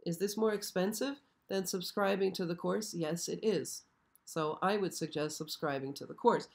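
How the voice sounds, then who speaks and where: A hoarse woman hosting a casual live stream, chatty and often checking in with her viewers. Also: lowish voice, moderate pace. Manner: chatty, frequently checks in with "okay?". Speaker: show host. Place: show set.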